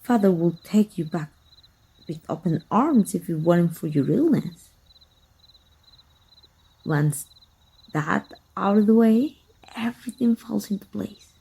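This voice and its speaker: Slow, husky woman acting a sly vampire hunter. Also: mid-range voice, lively intonation, mellow, full character voice, rough recording. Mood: coaxing. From Mexico. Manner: slow, deliberate, mock-sweet and menacing. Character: sly, taunting, sadistic female vampire hunter, manipulative estranged sister. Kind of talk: ASMR roleplay acting.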